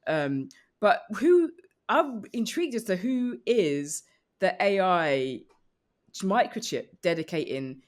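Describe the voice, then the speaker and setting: A woman comedian chatting casually as a podcast guest, riffing in a rambling, animated comic way. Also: on the low side, slow. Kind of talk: casual comic panel chat.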